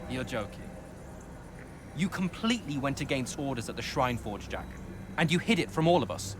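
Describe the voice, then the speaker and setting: An actor playing a dutiful, reproachful soldier in a game cutscene, with a dry, scolding manner. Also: male voice, mid-range voice, big pitch swings, normal speed, background noise, natural voice. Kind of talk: dramatic video game cutscene acting.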